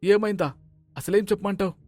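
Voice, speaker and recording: A man voicing a high, rattled, grumbling cartoon crook. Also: a little fast, dark tone, no room sound, faint music behind. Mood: irritated complaint. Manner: agitated, complaining. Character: frightened, grumbling male houseguest thief, rattled and sleepless. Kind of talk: cartoon moral story dialogue.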